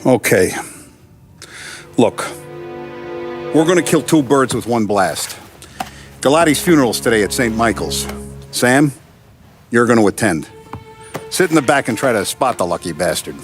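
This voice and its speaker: An actor playing a ruthless, coolly businesslike mafia boss with an American accent, giving blunt, clipped orders in a crime game. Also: male voice, medium pitch, lively intonation, measured pace, long pauses, music in the background, natural voice. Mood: commanding. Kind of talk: cinematic crime game dialogue.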